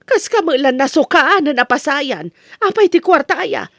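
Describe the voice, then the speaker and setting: An actress playing a patient mother who gently admonishes her grown son in an Ilocano comedy radio drama. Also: sits high, lively intonation, moderate pace, no echo. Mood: gently chiding.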